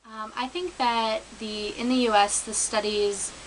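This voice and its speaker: Give me a young woman, calm and thoughtful, with hiss in the recording. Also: fairly high pitch, a little slow. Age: young adult. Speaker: student interviewee. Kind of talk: interview answers. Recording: clear hiss.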